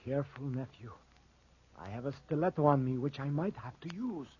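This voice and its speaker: An actor playing a menacing fugitive who makes quiet threats in a 1940s radio drama. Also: male voice, moderate pace, breathy edge, no room sound, lightly acted. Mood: warning. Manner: low-key, threatening. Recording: muffled 1940s radio recording.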